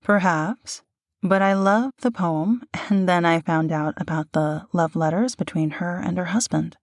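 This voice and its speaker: A woman with a lowish voice co-hosts an audio series in scripted banter. Her manner is teasing yet reassuring, and the recording is dry and intimate, with no room around her. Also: unhurried, dry recording. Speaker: voice actor co-hosting an audio series.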